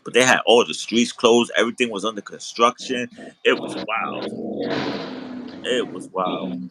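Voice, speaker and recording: A relaxed man chats on a video call from his sofa at home, with a low and very bright voice. He circles back over his words, restarting phrases, and laughs easily as he goes. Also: a little slow, slight background noise, low-quality recording. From the Bronx, New York. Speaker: host. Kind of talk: casual chat. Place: living room at home, on a sofa.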